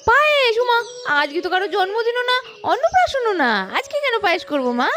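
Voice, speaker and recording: Woman as busy village aunt, very high pitch, animated, fond scolding, exclaiming, cartoon, music behind. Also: fast. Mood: flustered. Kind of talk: children's doll-story cartoon acting.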